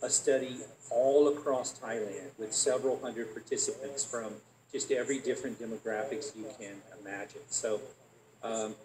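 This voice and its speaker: A man giving an academic conference talk in English, his first language, animated and fond of rhetorical questions. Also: mid-range voice, moderate pace, a little hiss or hum. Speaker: academic presenter. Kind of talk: conference presentation. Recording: echoey room.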